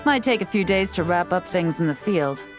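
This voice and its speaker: A woman voicing a calm, composed female intelligence agent who explains things in a measured, dry way, in a video game. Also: on the low side, close to an everyday voice. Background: soft game music.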